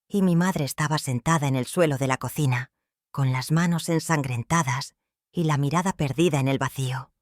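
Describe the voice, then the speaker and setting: An older woman from Michoacán, narrating a first-person life story in a measured, solemn manner. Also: sits low, no room sound, studio quality. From Michoacán, Mexico.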